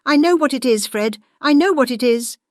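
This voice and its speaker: A woman playing an excitable, gleeful young lady in a dramatized audiobook, calling out in exclamations. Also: sits high, normal speed, no room sound, clean studio sound.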